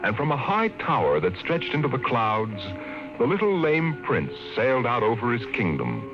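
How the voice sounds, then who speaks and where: A man narrating a 1950s radio drama, measured and slowing down for dramatic effect. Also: medium pitch, huge pitch swings. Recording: muffled old radio broadcast with music.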